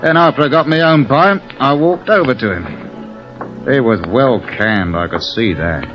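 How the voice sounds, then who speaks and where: Male actor as tough thirties sailor and schemer, cool, clipped, mid-pitched, vintage radio drama with dulled sound. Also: moderate pace, natural voice. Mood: wry narration. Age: 30s. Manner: terse, cool, matter-of-fact. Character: steady, scheming thirty-four-year-old seaman, tough gang leader. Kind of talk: 1950s radio drama acting and first-person narration. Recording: dull old radio recording with music.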